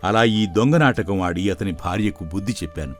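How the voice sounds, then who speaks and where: A man playing a stern, knowing sage in an animated folktale, admonishing and explaining. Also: low voice, moderate pace, faint music behind, close to an everyday voice. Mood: satisfied, explaining. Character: stern male sage, secretly a scheming magician in disguise.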